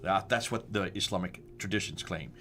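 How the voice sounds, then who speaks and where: A man's voice: deep voice, a little fast, bright, forceful tone. A man with an American accent hosting a discussion, walking through slides with emphatic rhetorical questions and stressed dates. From United States. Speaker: host.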